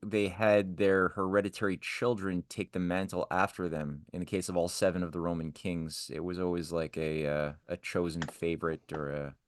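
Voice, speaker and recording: Low-voiced man, tentative and questioning. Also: level tone, normal speed, few pauses, no room sound. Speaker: study group participant. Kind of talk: informal discussion.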